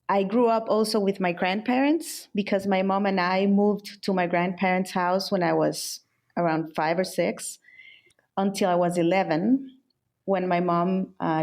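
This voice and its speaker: A middle-aged woman from El Salvador, a designer, answering interview questions in a candid, unhurried way. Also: on the low side, darkish tone. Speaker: guest, furniture and interior designer.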